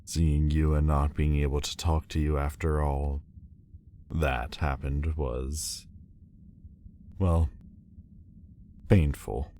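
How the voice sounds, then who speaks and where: A man playing a proud, wounded demon lord in an audio roleplay, guarded but vulnerable, with halting, stiffly formal, wry delivery. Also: extremely low pitch, vocal fry, darkish tone, slight background noise, full character voice. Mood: awkward, guarded. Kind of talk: intimate audio roleplay acting. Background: ambient sound effects.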